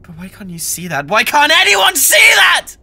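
A man's voice: very high voice, wildly swooping pitch, gravelly, bright, forceful tone, slightly rough, no room sound, raised voice, exaggerated voice. A British man playing an obsessive, unhinged young yandere classmate in an intimate, menacing ASMR roleplay. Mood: desperate, angry. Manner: menacing, intimate, slow and theatrical.

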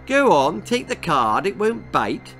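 A man's voice: high voice, sing-song, takes it slowly, a bit bright, faint music behind, voice pushed up, exaggerated voice. A man voicing a fat, eager night nurse woman with a wet, slobbering lisp in a storybook reading. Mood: gushing, eager. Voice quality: lisping, wet slobbering speech.

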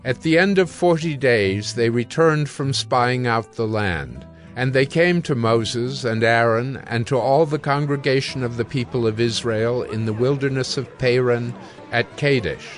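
Male narrator, scripted audio Bible, solemn measured storytelling, medium pitch, soft music bed.

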